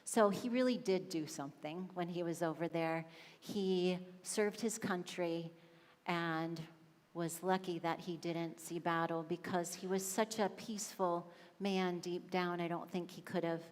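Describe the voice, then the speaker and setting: A woman's voice: fairly low pitch, fairly even intonation, unhurried, a little mellow. A woman giving a conversational sermon as a spiritual practitioner, telling family stories. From Swedish and Lithuanian family. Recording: hall reverb.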